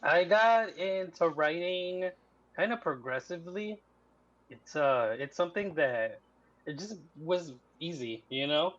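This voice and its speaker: A man's voice: fairly high pitch, expressive, a little slow, fairly bright tone. A man in his thirties from Mexico, guest on a podcast, talking at an easy rambling pace with many fillers. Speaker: guest writer. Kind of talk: casual interview. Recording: muffled dry lo-fi.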